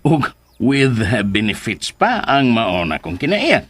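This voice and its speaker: Swooping, boastful old suitor, Cebuano accent. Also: male voice, medium pitch, huge pitch swings, slow, crowd noise. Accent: Filipino, Cebuano. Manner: pompous, bragging, exaggerated. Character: boastful, vain older man, comic rival suitor. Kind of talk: Philippine radio drama acting.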